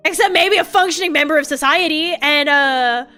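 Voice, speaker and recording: Bright high-voiced Australian woman rambling. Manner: rambling, swears often, quick laughs. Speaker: vtuber streamer. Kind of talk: casual chat to viewers. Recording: faint music behind.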